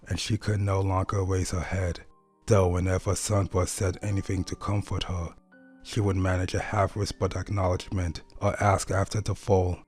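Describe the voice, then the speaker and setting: A man's voice: deep voice, a little mellow. A man reading dramatic fiction aloud as a scripted story narration.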